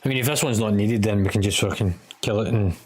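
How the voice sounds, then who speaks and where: A man's voice: on the low side, fast talker. A man talking casually and bluntly with teammates during an online game. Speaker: gaming teammate. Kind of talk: casual gaming chat.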